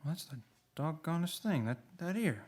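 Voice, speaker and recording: A man's voice: fairly high pitch, lively intonation, normal speed, darkish tone. A man playing a despairing, bewildered family man in a radio play, hesitant and stammering.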